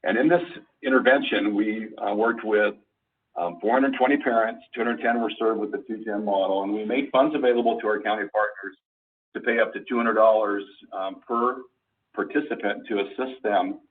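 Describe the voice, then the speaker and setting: A low-voiced man presents slides to colleagues on a conference call. His voice is husky and he explains in a halting, deliberate manner. The sound is thin and phone-like, as if he is some way from the receiver. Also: fairly even intonation, recorded from a distance. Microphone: conference call phone line.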